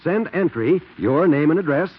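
A man's voice: fairly high pitch, animated, normal speed, slightly rough. An American man announcing a commercial in emphatic 1940s radio salesman style. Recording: dull 1940s radio recording.

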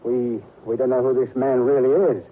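An actor playing a suspicious, indignant gentleman in 1940s radio drama style. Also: medium pitch, level tone, moderate pace, natural voice. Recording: muffled old radio recording.

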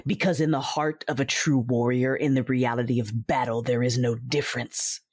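A woman voices a proud female Sith warrior in a sci-fi audio drama, narrating in the first person. Her voice is husky and deliberate, cold and controlled. The sound is dry and close. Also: a bass voice, level tone, a little mellow, no echo.

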